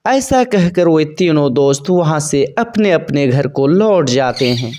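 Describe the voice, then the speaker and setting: A woman reading scripted narration for a children's animated bird story. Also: low voice, a little slow.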